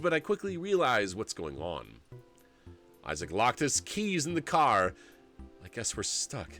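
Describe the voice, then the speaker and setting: A man in his forties streaming a story game, reading its narration aloud and joking, laughing often. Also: mid-range voice. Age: 40s. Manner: animated and jokey, laughs often, rambles.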